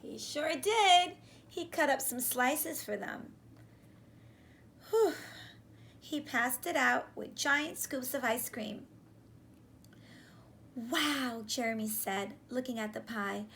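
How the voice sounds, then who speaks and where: A high-voiced woman reads a picture book to children. She takes her time, speaking expressively and stopping to put questions to her listeners. There is clear room noise in the recording. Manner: animated, questioning, slow and deliberate. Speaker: teacher reading a picture book aloud. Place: small room indoors, on a couch.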